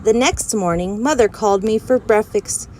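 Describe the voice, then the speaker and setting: A woman reading a children's book aloud in a clear storytelling voice. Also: mid-range voice, normal speed, darkish tone, a little hiss or hum. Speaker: audiobook reader.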